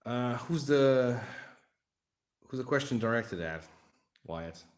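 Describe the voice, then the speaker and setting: A man's voice: medium pitch, lively intonation, slow pace, reverberant. A Hungarian man rambling and joking with his live chat while he assembles a miniature. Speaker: livestream hobby host. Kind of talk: casual livestream chat while building a model.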